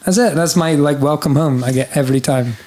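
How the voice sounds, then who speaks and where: A man from Scotland with an English-sounding British accent, telling animated stories as a podcast guest and swearing freely. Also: fairly high pitch, fairly quick. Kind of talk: casual storytelling.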